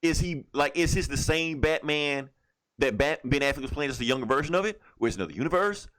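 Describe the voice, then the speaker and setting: A man co-hosting a podcast, chatting casually about comics and games in a profane, opinionated, animated way. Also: a little high, quick pace. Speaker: podcast co-host. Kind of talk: casual comic book and video game chat.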